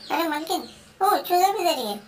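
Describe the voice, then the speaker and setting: A woman's voice: extremely high pitch, lively intonation, moderate pace, a little mellow, distant mic. A woman voicing a hungry, scheming female house cat, muttering plans to herself with lots of hums. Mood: grumbling greedy. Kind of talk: comic pet voice-over.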